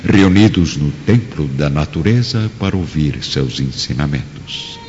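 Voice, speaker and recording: A Brazilian man delivers formal, solemn story narration. His voice is very low and carries a long reverb. Music plays clearly behind him. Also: wildly swooping pitch, measured pace, dull audio. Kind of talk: dramatic scripted narration. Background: background music.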